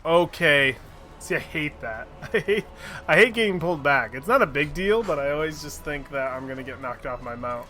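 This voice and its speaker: A man's voice: on the high side, lively intonation, moderate pace, bright, forceful tone, faint music behind. A man streaming a fantasy game, chatting casually, joking, swearing and reading quest lines aloud. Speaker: gaming streamer. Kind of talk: casual gameplay commentary.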